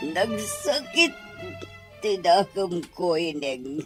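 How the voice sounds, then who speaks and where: A woman's voice: fairly low pitch, very animated, over music, full character voice. A woman with a Filipino accent playing a lovesick, imperious middle-aged madam in an Ilocano audio drama, with melodramatic delivery. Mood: tearful, indignant. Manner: melodramatic, drawn-out. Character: lovesick, imperious older madam, theatrical and wounded.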